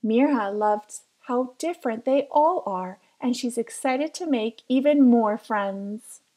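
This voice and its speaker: Woman, high voice, unhurried pace, expressive children's picture book narration, careful storyteller. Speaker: storybook reader.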